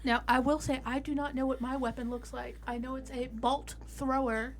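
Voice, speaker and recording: A woman's voice: sits high, flat delivery, normal speed, a little hiss or hum. A woman playing a tabletop roleplaying game, bantering casually in a bossy, joking manner.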